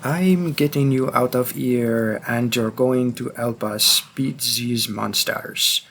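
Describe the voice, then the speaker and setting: A man playing a grim, driven vampire who hunts his own kind in an audio roleplay, speaking with an attempted French accent in a deliberate, halting, intense way. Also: mid-range voice, fairly even intonation, creaky, fairly bright tone, slight background noise. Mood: grim, determined. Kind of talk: audio roleplay spoken to the listener.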